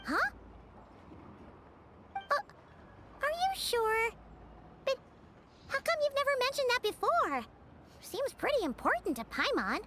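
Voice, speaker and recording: A woman voicing a small, excitable, childlike fairy guide in a video game, chirpy, boastful and exclamatory. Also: slow pace, pauses often, background noise, full character voice. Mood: curious. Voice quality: squeaky, childlike. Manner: refers to self in third person, exclamatory, chirpy. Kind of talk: video game character dialogue.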